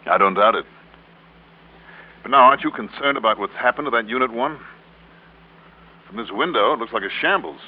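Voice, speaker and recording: A man's voice: mid-range voice, a little fast, long pauses, slightly rough, natural voice. Man acting as hard-boiled radio investigator, tinny recording. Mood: skeptical. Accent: American. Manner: clipped, wry, hard-boiled. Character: cool tough insurance investigator narrating his case. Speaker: lead actor and narrator. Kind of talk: classic American radio drama acting, first-person narration. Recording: tinny band-limited vintage radio recording, music.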